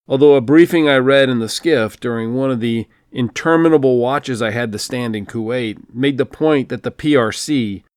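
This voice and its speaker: A man's voice: medium pitch, no room sound. Dry, wry male audiobook narrator. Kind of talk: first-person audiobook narration.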